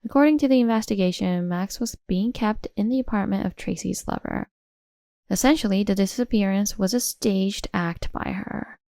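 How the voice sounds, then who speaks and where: A woman's voice: medium pitch, normal speed, gravelly, warm tone, dry recording, studio quality. A woman reading a scripted first-person family drama as its narrator. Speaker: story narrator.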